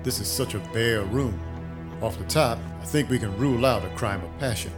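A man's voice: medium pitch, normal speed, close to an everyday voice. An actor playing a wry, hard-boiled vampire detective in an audio drama, dry, matter-of-fact and clipped in his delivery. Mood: dry, focused. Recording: music under the voice. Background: music.

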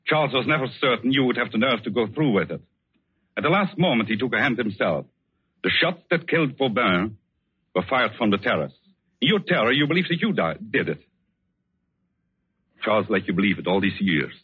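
An actor playing a grave, devoted man in a 1940s radio suspense drama, speaking deliberately and dramatically. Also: fairly low pitch, big pitch swings, breathy edge, dry recording, full character voice. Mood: grave, revealing. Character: grave, devoted man confessing the truth to his lover. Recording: muffled, narrow old 1940s radio recording.

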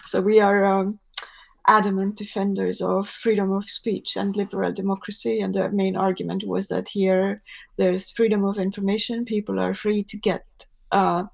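A woman's voice: mid-range voice, flat delivery, moderate pace, vocal fry. A woman from Estonia who analyses politics in a podcast discussion, earnest and firm.

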